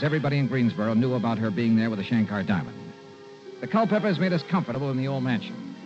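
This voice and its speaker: A man's voice: medium pitch, natural voice. An actor playing a wry, hard-boiled insurance investigator narrating his case in an old radio noir drama. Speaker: lead detective and narrator. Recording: dull vintage radio recording.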